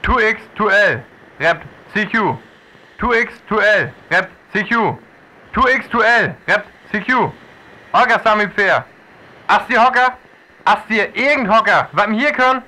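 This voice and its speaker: An actor playing an urgent radio operator with a North Frisian accent, sending calls through a radio filter in a radio drama. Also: male voice, high voice, expressive, unhurried, pushed and bright, full character voice, low-quality recording. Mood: urgent calling.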